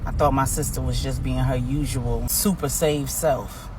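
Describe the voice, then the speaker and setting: A woman's voice: extremely low pitch, fairly even intonation, measured pace, noisy background, voice pushed down. A woman voicing a bold, impulsive female crime family boss in a story, with slangy, assertive talk. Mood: contrite.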